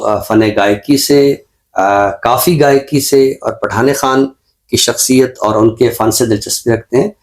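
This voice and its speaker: A man with a Pakistani accent giving an unscripted book review as a show presenter, explaining in a measured, scholarly way. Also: mid-range voice, a little mellow.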